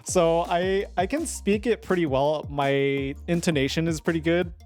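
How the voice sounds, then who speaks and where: A giggly, chatty streamer talks casually in a mid-range voice, rambling with plenty of filler words. Soft music plays quietly underneath. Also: medium pitch, normal speed. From United States. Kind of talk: casual live-stream chat.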